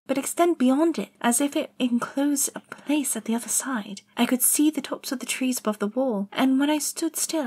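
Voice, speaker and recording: A woman voicing a lonely, curious girl, breathy. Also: fairly high pitch, darkish tone. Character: lonely, curious young girl exploring gardens. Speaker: narrator and lead actor in an audio drama. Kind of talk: first-person storybook narration.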